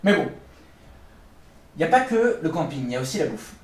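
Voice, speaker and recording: A man's voice: a little high, sing-song, moderate pace, reverberant, recorded from a distance. A man co-hosting a podcast, telling funny stories in a casual, self-mocking way and laughing often. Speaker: podcast co-host. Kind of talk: casual comic storytelling.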